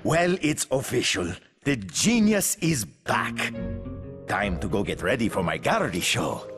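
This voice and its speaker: Eccentric artist, theatrical, lowish voice with huge swings, game music behind. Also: male voice, sing-song, unhurried. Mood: grandiose.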